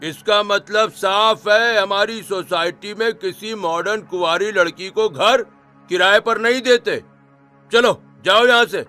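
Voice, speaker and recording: A man's voice: high voice, a little slow, pushed and bright, clear, resonant tone, soft background music. A man playing a stern, moralizing middle-aged housing society secretary who scolds and lays down rules in a story drama. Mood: scolding, dismissive. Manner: scolding, rule-bound, authoritative. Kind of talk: acted Hindi story dialogue.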